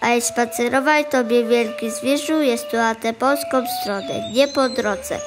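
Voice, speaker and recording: High-voiced clever young sheepdog played by a woman. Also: a little slow, over music, close to an everyday voice. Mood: reassuring. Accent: Polish Goral highland. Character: kind, clever young male sheepdog, eager helper. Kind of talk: audio play dialogue in dialect.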